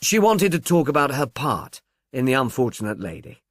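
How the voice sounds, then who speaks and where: A man's voice: medium pitch, very animated, moderate pace, dry recording, clean studio sound. A man voicing an offhand, self-assured man of the film world in an audiobook, with a dismissive manner.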